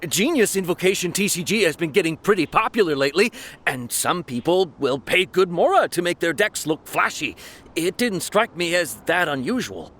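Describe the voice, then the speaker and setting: An actor playing a nervous, cornered young man who stammers and hesitates in an animated video game style. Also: sits high, normal speed, slight background noise. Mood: earnest, excitable.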